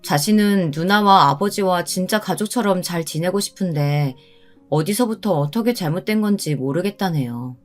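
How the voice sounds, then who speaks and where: A woman reading a scripted first-person story as a dramatic narrator. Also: lowish voice.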